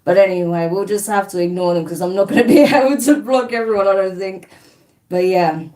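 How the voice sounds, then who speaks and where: A woman's voice: mid-range voice, fairly quick, warm tone, distant mic. A woman with a slight New Zealand twang hosting a live card-reading stream, chatting casually and rambling as she reads viewer comments and bluntly dismisses trolls.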